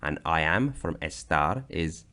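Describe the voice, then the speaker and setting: Man, very low voice, unhurried, from Britain, teaching grammar from slides. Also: animated, pushed and bright. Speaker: language teacher. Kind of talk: scripted slideshow narration.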